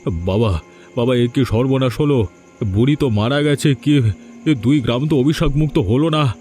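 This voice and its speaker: A man's voice: medium pitch, normal speed, mellow, lightly acted. A man voicing an anxious, scheming village man in a cartoon fairy tale. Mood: distressed. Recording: background music under dialogue.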